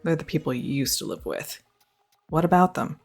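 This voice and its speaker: Young woman doing a girlfriend roleplay, low voice w some fry, soft music behind. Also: lively intonation, moderate pace. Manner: affectionate, teasing, reassuring. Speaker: audio roleplay performer.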